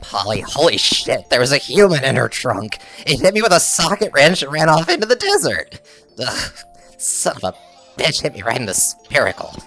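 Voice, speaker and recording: A man's voice: mid-range voice, huge pitch swings, normal speed, pushed and bright, faint music behind, full character voice. A man playing an aggrieved, foul-mouthed alien garage mechanic in a comedy audio drama, groaning and exasperated. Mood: pained, outraged.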